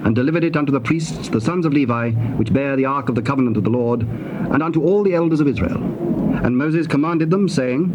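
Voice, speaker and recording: A man giving formal, measured scripted narration in a dramatized Bible reading. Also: mid-range voice. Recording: very noisy old cassette tape.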